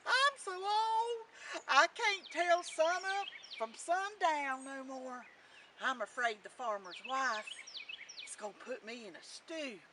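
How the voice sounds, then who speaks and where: A woman's voice: high voice, takes it slowly, distant mic, background noise. A woman voicing a decrepit, worried old rooster in a storybook tale, crowing and speaking shrilly in rural dialect.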